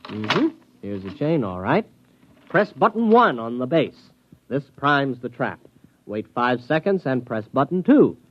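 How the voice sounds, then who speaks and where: A man playing an eager, curious amateur hunter with an excitable, earnest manner in a 1950s radio comedy. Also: medium pitch, unhurried, breathy edge. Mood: curious, amused. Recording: dull vintage radio recording.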